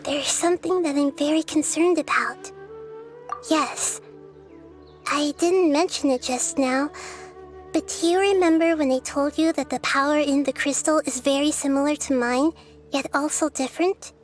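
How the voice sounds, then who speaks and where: A woman voicing a kind young girl goddess of wisdom, calm and reassuring in a game cutscene. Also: sits high, normal speed, soft background music, lightly acted. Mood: gentle.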